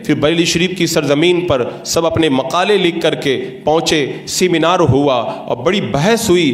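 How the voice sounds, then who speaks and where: Male cleric, slightly higher-pitched, formal and didactic religious lecturer, recorded with clear room echo. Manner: didactic, methodical, formal Urdu-laden diction. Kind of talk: religious lecture, explanatory sermon. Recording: roomy, echoing sound.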